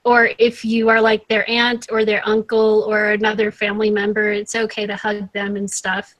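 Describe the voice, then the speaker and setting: A woman from the United States calmly explaining her view point by point in an online meeting. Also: mid-range voice, normal speed, no echo, poor audio. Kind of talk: casual group discussion in an online meeting. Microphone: video call.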